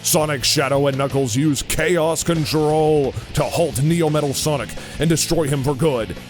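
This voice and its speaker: A man's voice: fairly high pitch, unhurried. A man narrating a scripted audio drama story. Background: music.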